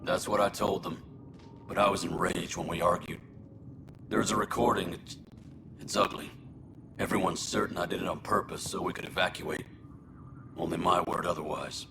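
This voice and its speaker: An actor playing a weary, resigned colonist imprisoned for murder, speaking in a halting, subdued way in a video game. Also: male voice, deep voice, steady tone, gravelly, very noisy. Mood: remorseful.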